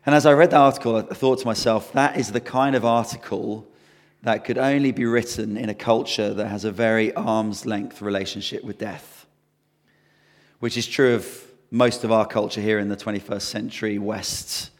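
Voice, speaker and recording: A hoarse man preaches earnestly and conversationally, his voice worn and croaky. His pitch sits a little low, and long reverb trails his words through the hall. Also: steady tone, moderate pace. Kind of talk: sermon.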